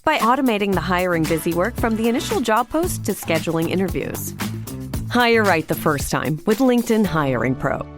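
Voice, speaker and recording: A woman's voice: mid-range voice, very animated, moderate pace. A woman reading a scripted advert as a wry storytelling announcer. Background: music.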